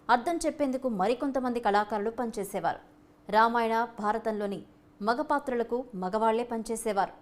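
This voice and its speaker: A woman's voice: mid-range voice, darkish tone. A woman reading scripted narration for a documentary-style news feature.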